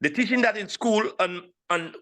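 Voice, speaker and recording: A man in his sixties with a St. Lucian accent, telling his story casually as an interview guest, animated and repeating phrases for emphasis. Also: high-pitched, moderate pace. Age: 60s. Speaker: interview guest, steel pan player.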